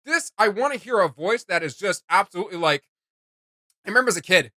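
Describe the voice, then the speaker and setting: A man's voice: sits high, lively intonation, fast, bright, forceful tone, dry recording, studio quality. A man hosting a reaction video, giving casual, opinionated commentary in an emphatic, slangy way.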